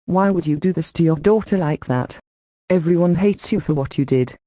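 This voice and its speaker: A man's voice: on the high side, moderate pace, dark tone, no echo, dull audio, voice pushed up, lightly acted. A man voicing an angry young female witness in a raised put-on voice. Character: angry young woman witness, high-pitched.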